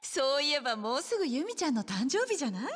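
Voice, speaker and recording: Lively, high-voiced, motherly bar hostess. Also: quick pace, soft background music. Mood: teasing. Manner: easygoing, familiar, gently chiding. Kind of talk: Japanese video game drama acting.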